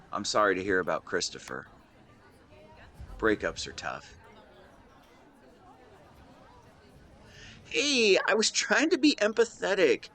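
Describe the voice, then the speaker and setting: A man's voice: fairly low pitch, fairly bright tone, exaggerated voice. A man in his twenties playing a devoted, protective and secretly lovesick best friend in a conversational audio roleplay, earnest and playful with some hesitation. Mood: teasing, playful. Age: 20s. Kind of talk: intimate one-sided roleplay acting, conversational.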